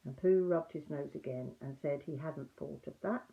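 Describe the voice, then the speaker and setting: An older woman narrates a children's storybook in an expressive storyteller's style. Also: low-pitched, moderate pace, vocal fry. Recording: muffled, narrow phone-like audio.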